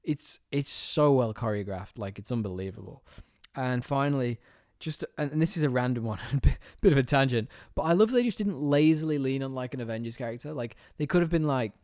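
A man co-hosting a casual film review podcast, enthusiastic and quick to laugh, often restarting his sentences. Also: mid-range voice, a little mellow, no echo, studio quality. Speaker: podcast co-host.

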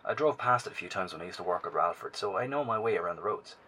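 A man's voice: low-pitched, animated, fast, pushed and bright. A man acting in an audio drama as a determined, risk-taking scout reporting over a two-way radio. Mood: focused, cautious. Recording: noisy radio link with ambience.

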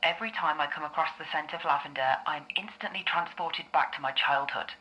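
A woman playing a calm, reassuring therapist in an audio drama, speaking in a measured, explanatory way. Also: low-pitched, lo-fi sound, natural voice. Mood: calm, explaining. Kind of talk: scripted audio drama dialogue.

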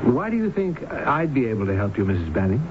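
An actor playing a calm, probing doctor in a radio drama, questioning in a measured, professional way. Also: male voice, mid-range voice, lightly acted. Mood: measured, cautious. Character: calm, probing male doctor hypnotizing his patient. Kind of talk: 1970s radio drama acting. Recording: dull, very noisy old radio broadcast.

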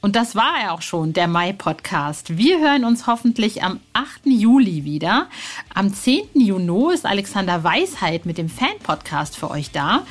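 A woman's voice: medium pitch, big pitch swings, moderate pace. A woman presenting a podcast as host, speaking directly and personally to her listeners. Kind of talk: podcast moderation, addressing listeners.

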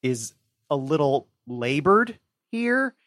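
Measured man discussing books, dry sound. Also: fairly high pitch, very animated, a bit bright, no echo. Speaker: podcast guest. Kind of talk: casual book discussion.

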